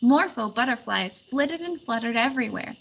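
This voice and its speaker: A woman reading a children's picture book aloud as an expressive narrator. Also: a little high, moderate pace, vocal fry, slightly rough, dull audio. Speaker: storybook reader.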